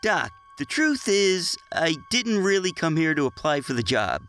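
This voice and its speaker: A nervous but eager teenage boy in a cartoon style. The voice is high and bright, with stammers and slangy outbursts, and faint music plays underneath. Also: very animated, unhurried. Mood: sheepish, hesitant. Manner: stammering, slangy, self-talking, exclamatory. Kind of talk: animated character acting for a motion comic.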